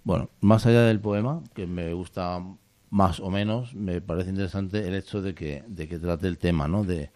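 A man hosting a casual radio talk on poetry, explaining and reading poems in a steady way, often ending lines with a questioning tag. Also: low-pitched, moderate pace, no room sound. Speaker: radio host. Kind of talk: casual radio chat about literature.

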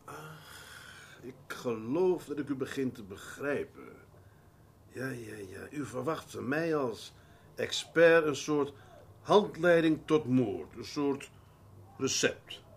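Radio drama casting: seasoned police inspector, male, husky, patient, wry, formally courteous. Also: mid-range voice, lively intonation, heavily acted. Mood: ironic, probing. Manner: measured, formally polite, dryly ironic. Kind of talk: Dutch radio drama acting.

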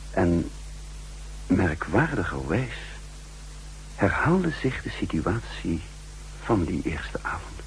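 A man acting a shrewd, sardonic counter-espionage chief in a 1960s radio drama, speaking deliberately in clipped, dryly ironic phrases. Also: deep voice, a little hiss or hum, exaggerated voice, poor audio.

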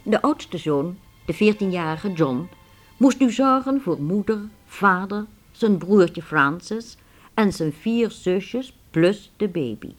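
A woman narrating a radio play in a measured, scripted storytelling style. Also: mid-range voice, animated, soft background music.

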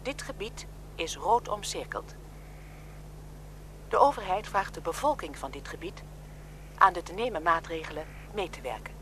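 An actress playing an impersonal government newsreader reading a formal bulletin on the radio in a radio drama. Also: female voice, fairly low pitch, animated, normal speed, long pauses, a bit bright, low-quality recording. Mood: official, impersonal. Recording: small radio with hum and noise.

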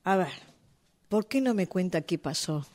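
A woman playing a patient but firm official in a radio drama, questioning an offender in a measured, formal, reasoning way. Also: on the low side, lively intonation, darkish tone, lightly acted. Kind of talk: radio drama workshop acting.

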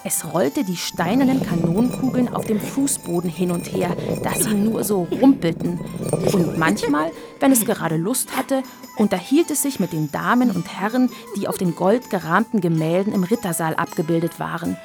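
A woman's voice: medium pitch, animated, normal speed, vocal fry, music in the background. A woman narrator reading a scripted children's story in a radio play.

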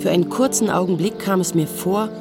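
An actress playing a wry, melancholy writer around forty, narrating a radio drama in a dry, laconic way. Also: female voice, on the low side, fairly quick, lightly acted. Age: 40s. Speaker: first-person narrator. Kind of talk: scripted literary narration. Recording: prominent music under the narration.

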